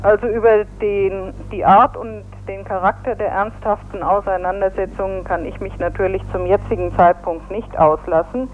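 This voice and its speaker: A woman's voice: medium pitch, fairly even intonation, a little hiss or hum. Woman speaking formally over a tinny phone line. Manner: formal, measured, legalistic phrasing. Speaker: union press spokesperson. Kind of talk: phone interview statement. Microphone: telephone.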